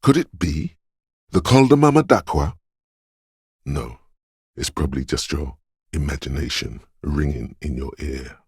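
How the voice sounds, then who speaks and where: A man voicing a brooding, cryptic inner voice of intuition in a video game, speaking in a hushed, deliberate, portentous way. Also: a bass voice, lively intonation, breathy edge, no room sound, studio quality, full character voice. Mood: dreamy, searching. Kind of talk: scripted video game narration.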